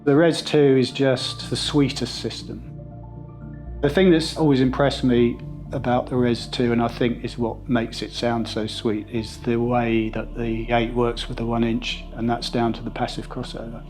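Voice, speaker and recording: Clear background music with guitar sits behind a middle-aged man giving an interview testimonial. His pitch is average for a man, and he talks in a conversational, reflective way with evident enthusiasm. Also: little pitch movement, unhurried, darkish tone. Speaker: sound system installer interviewee.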